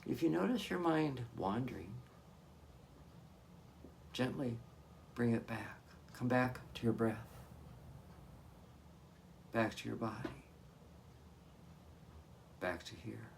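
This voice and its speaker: A man leading a guided meditation as a hypnotherapist, giving gentle, soothing instructions with long pauses between phrases. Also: mid-range voice, lively intonation, gravelly, a little hiss or hum.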